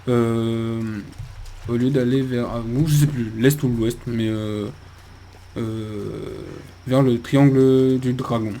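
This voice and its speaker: A man's voice: medium pitch, steady tone, slow pace, dark tone. A man giving casual video game commentary, thinking aloud in a hesitant way. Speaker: video game let's player. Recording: faint background noise.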